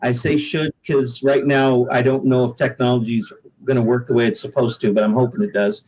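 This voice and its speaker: Mid-pitched man chatting casually. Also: level tone, brisk, a little mellow. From US Pacific Northwest. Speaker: singer-songwriter guest. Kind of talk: casual interview chat.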